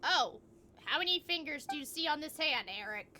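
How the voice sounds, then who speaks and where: A woman's voice: high voice, expressive, a little fast, slight background noise. A woman streaming a video game live, giving casual, playful and chatty commentary as she reacts. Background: loud game music.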